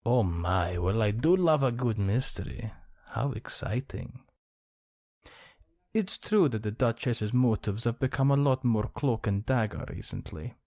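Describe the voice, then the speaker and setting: A man's voice: mid-range voice, expressive, a little slow, warm tone, dry recording. A man playing a suave, gossipy, scheming count in an ASMR roleplay, speaking in a genteel, theatrical manner. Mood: musing, conspiratorial. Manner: genteel, theatrical, arch phrasing.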